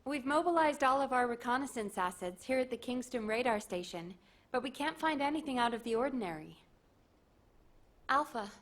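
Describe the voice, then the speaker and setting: An actress playing a confident, determined military strategist in a video game, briefing in an assertive way. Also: female voice, fairly high pitch.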